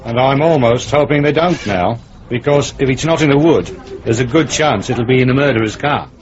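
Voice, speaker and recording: An actor playing a decisive senior English detective, plain-spoken and authoritative, in a British radio drama. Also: male voice, medium pitch, brisk, close to an everyday voice. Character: blunt, decisive senior male police detective. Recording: dull lo-fi radio recording.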